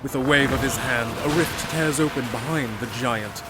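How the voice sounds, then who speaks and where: A man's voice: mid-range voice, normal speed, over loud music. A man giving dramatic, scripted fantasy narration as the storyteller.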